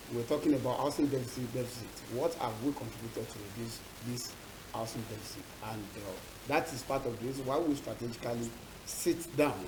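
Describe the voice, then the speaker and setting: A man with a Nigerian accent speaking formally as an interview guest, in deliberate phrases with rhetorical questions. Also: medium pitch. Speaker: company chairman, interview guest. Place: TV studio set. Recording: echoey, noisy, hissy audio.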